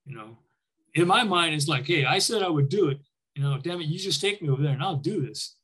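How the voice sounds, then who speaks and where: Older man with a higher-pitched voice, reminiscing. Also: lively intonation, a little fast, a bit bright, slightly rough. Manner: informal storytelling, acting out remembered dialogue. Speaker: military veteran, former Army air traffic controller, interviewee. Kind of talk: conversational war reminiscence.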